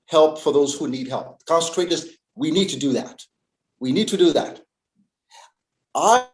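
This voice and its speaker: A man from Uganda speaking in a panel discussion, earnest and emphatic, stressing key words with long pauses. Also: a little high, darkish tone, reverberant. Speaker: panel guest.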